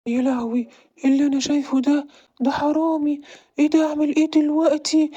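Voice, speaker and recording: A woman voicing a young boy who acts the protective big brother, talking fast and bossily. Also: a little high, lively intonation, lo-fi sound, natural voice. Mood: alarmed. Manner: bossy, protective. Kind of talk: audio drama.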